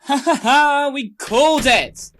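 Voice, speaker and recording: A woman's voice: sits high, slow pace, a bit bright, slight background noise. A woman playing a blunt, mocking offspring of the household in a comedy audio drama. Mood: snide. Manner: snide. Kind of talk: hobby comedy murder-mystery audio drama.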